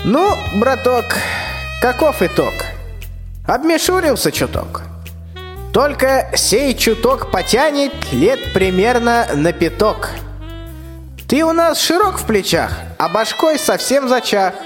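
An actor playing a pompous, threatening old tsar in a rhymed fairy-tale radio play. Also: male voice, very high-pitched, sing-song, slow pace, heavily acted. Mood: scolding, menacing. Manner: pompous, colloquial rhymed speech. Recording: long hall reverb, music behind the voice.

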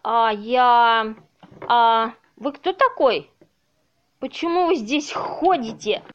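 A high-pitched, slow-spoken woman played by an actress in a radio play. She is trusting and easily flustered, distraught about her home. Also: a bit bright, dull audio. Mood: indignant. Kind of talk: amateur audio play acting.

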